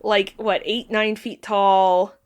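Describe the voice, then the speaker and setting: A woman from Spain chatting casually as a podcast guest, playful, animated and jokey, happy to swear. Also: medium pitch, takes it slowly, smooth. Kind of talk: casual chat.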